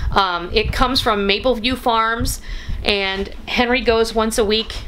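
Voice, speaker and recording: High-voiced, folksy woman chatting over noise. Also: slow pace, a bit bright, poor audio. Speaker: home cooking vlogger and host. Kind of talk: casual chatty haul narration. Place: room at home. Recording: clear background noise.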